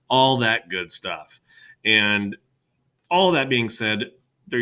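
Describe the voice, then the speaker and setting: A middle-aged male teacher with a loud, boisterous classroom voice, talking casually and rambling with fillers, recorded on a webcam in an empty classroom. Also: lowish voice, clear, resonant tone. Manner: rambling, chatty with many fillers. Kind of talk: casual classroom welcome talk.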